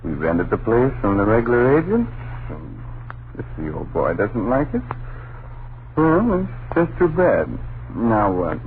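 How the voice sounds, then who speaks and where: An American actor playing a sleepy, dismissive husband and father in an old-time radio suspense drama, gruffly reassuring in slangy, drawn-out exasperation. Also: mid-range voice, very animated. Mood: weary reassurance. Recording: tinny, noisy old radio recording.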